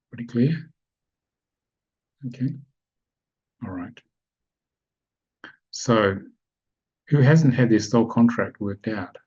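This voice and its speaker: A man's voice: fairly low pitch, a little mellow, slightly rough. A man presenting a spiritual webinar, explaining step by step and often checking that his audience follows. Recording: dull lo-fi online call audio.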